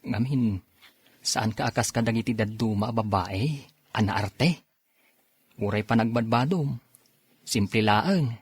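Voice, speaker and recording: A man's voice: on the low side, a little slow, long pauses, slightly rough, no echo. A man playing an earnest young provincial suitor in a radio drama, speaking with an Ilocano accent. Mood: pleading. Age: young adult. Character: earnest 24-year-old provincial man courting an older woman.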